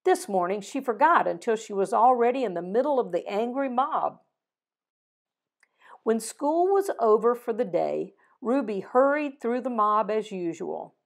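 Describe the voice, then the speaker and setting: An older woman reading a picture book aloud and closing with a prayer, gently voicing the dialogue, recorded over a video call at home. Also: a little high, animated. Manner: slow, gentle storytelling with voiced dialogue. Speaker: storybook reader. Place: home living room with fireplace.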